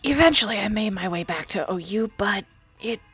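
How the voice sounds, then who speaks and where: Woman voicing a husky, foul-mouthed ghoul girl. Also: mid-range voice, animated, moderate pace, a bit bright, soft background music. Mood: wry. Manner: blunt, crude and defensive. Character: sardonic foul-mouthed young female ghoul, ex-psych student. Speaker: companion character. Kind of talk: fan-voiced game dialogue.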